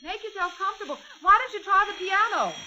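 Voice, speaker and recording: A woman's voice: very high-pitched, lively intonation, fast, sharp tone, slight background noise, exaggerated voice. An actress playing a frightened, framed young woman in a 1940s radio mystery. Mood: eager, brisk. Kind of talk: 1940s radio drama acting. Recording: muffled, band-limited old radio recording with music.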